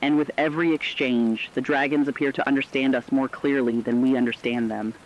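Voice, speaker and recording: A man voicing a serious radio correspondent, delivering a scripted catastrophe report in a sober, deliberate, dramatic way. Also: mid-range voice, fairly even intonation, highs cut off, lightly acted. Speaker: news correspondent.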